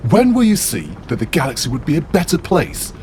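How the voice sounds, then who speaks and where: A man's voice: on the high side, fairly quick. A man voicing a self-righteous demigod warlord who lectures earnestly and indignantly. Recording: steady background noise.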